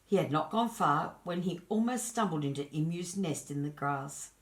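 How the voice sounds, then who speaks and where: A woman storyteller narrating a scripted children's tale in an expressive, deliberate manner. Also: low voice.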